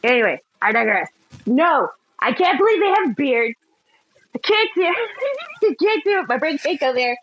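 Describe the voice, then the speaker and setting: Excitable woman podcast co-host. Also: sits high, moderate pace, highs cut off, a little hiss or hum. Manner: excitable, laughs easily, exclaims. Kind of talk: casual chat.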